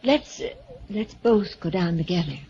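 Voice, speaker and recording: An actress playing an anxious, coaxing wife in a haunted-house mystery, in 1940s radio drama style. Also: female voice, lowish voice, animated, normal speed, dark tone, highs cut off, close to an everyday voice. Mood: wary, determined. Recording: noisy, band-limited old radio recording.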